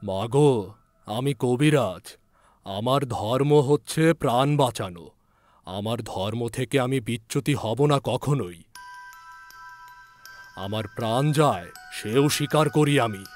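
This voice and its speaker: A man playing an earnest, devoted village physician who speaks in a deliberate, pleading way in a dramatised story. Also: medium pitch, faint music behind, close to an everyday voice. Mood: earnest, resolute.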